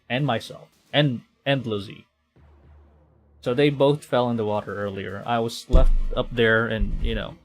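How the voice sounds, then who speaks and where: Male streamer from the Philippines, mid-pitched, unhurried and halting, commenting on a playthrough with soft music behind. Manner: halting, thinking aloud, stumbling over read lines. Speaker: VTuber streamer. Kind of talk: live game playthrough commentary, reading visual novel text aloud. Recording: background game music. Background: game music.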